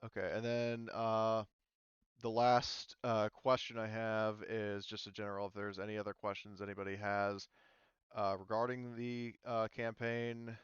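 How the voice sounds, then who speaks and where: A man's voice: fairly low pitch, level tone, unhurried, clear, resonant tone, dry recording. A man running a tabletop game as game master, talking casually and hesitantly as he thinks aloud, on a muffled voice-chat line.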